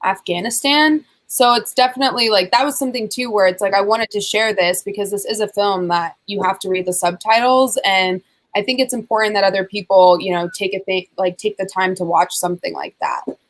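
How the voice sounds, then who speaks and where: A woman's voice: medium pitch, normal speed. A young woman from the United States hosting a casual chat over a video call, talking loosely with lots of filler words. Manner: chatty, many "like" fillers. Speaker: host.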